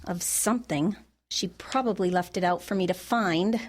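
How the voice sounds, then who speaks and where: A woman's voice: lowish voice, normal speed, natural voice. A woman playing an exasperated, sarcastic mother of a teenager in an audio comedy, dry and skeptical in manner. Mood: suspicious. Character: exasperated, sarcastic grown daughter, mother of a teen. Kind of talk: audio comedy drama acting.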